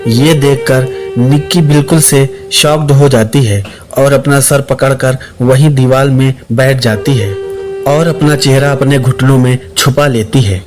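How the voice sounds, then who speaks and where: A man's voice: mid-range voice, steady tone, measured pace, a little mellow. A man narrating a scripted suspense story as the storyteller. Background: background music.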